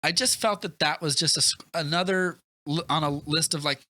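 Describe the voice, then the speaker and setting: A man with a bright, forward voice pitched a little high talks films casually as a podcast co-host. He tells things in an animated way, acting out little scenes as he goes. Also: fairly quick. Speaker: podcast co-host reviewing a film. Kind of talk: casual film discussion chat.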